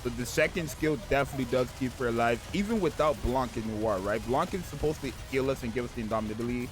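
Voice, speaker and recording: Slightly raspy just-woke-up man. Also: medium pitch, fast, rough recording. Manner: rambling, keeps asking "right?". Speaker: gaming video host. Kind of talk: casual gameplay commentary.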